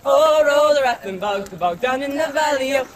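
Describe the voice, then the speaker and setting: A man leading a cumulative scout song, chanting loudly in a sing-song way. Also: very high voice, animated, moderate pace. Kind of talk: group campfire song, call and response. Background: crowd of young people singing along.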